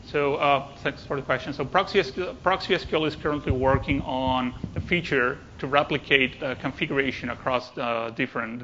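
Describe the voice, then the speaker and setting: A man's voice: medium pitch, fairly bright tone, lo-fi sound, a little hiss or hum. A man presenting technical material at a conference, speaking hesitantly and often repeating words as he goes.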